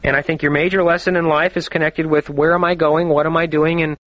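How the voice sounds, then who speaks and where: A man's voice: fairly high pitch, level tone, fast talker, a bit bright, lo-fi sound. A man hosting a call-in astrology radio show, talking confidently and chattily and laughing often.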